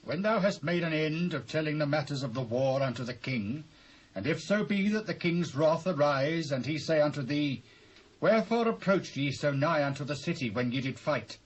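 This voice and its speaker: A British actor playing a forceful ancient army commander giving orders in a dramatised Bible reading, on an old cassette recording. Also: male voice, a little high, animated, moderate pace. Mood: stern, urgent. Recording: dull old cassette tape.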